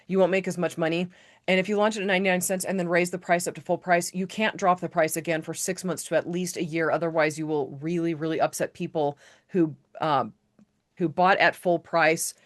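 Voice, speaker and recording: A woman hosting a casual live online training, chatty and rambling, correcting herself and filling pauses with "um". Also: lowish voice, steady tone. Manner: chatty, rambling, self-correcting with many fillers. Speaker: host of a live author-business training.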